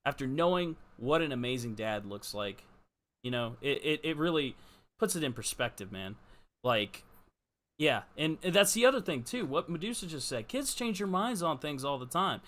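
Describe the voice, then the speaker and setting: A man with a bright, forceful voice pitched on the high side co-hosts a casual live football show. He talks earnestly and informally, leaning hard on his points as he goes. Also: big pitch swings, moderate pace. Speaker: podcast co-host.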